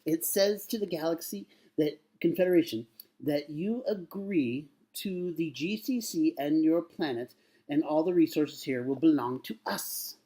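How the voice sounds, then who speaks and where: A man's voice: fairly high pitch, a little slow, dark tone, slightly rough, pitched above the performer's own voice. A man playing an overdramatic, falsely friendly starship captain in a comic sci-fi monologue, with exaggerated delivery. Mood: patronizingly friendly. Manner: exaggerated, overacted.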